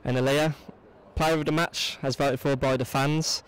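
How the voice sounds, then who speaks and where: A man's voice: medium pitch, steady tone, moderate pace, clean tone, rough recording. A man interviewing for a football club, asking fluent, presenterly post-match questions.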